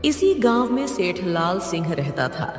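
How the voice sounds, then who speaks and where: A woman reads storybook narration at a very slow pace with lively intonation. The voice is distant and carries long reverb over soft music. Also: lowish voice.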